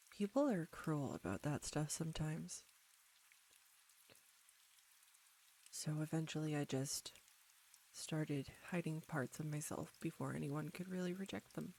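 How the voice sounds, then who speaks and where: A woman playing an embarrassed, anxious young roommate in an intimate audio roleplay, speaking softly and haltingly straight to the listener. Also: deep voice, exaggerated voice. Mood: vulnerable, shy. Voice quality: soft. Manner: halting, flustered, nervous stumbling.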